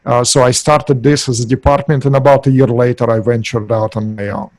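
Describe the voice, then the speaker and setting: Middle-aged Russian-accented man, podcast guest, hesitant delivery, mid pitch, dry close mic. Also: steady tone, darkish tone, no echo, poor audio. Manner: halting, many uh fillers. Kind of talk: podcast interview.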